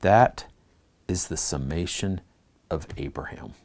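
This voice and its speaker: A man with a low, mellow voice leads a church Bible class. He reads the passages aloud and talks them through earnestly, stopping to put questions to the class. He speaks in a teaching tone. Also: takes it slowly.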